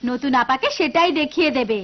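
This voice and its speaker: Encouraging schoolteacher, cartoon, gentle manner, high pitch, muffled lo-fi audio. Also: female voice, animated, fairly quick. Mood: kindly. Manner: gentle, patient instruction. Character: kind, encouraging young schoolteacher. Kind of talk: cartoon voice acting. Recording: dull lo-fi cartoon audio with music.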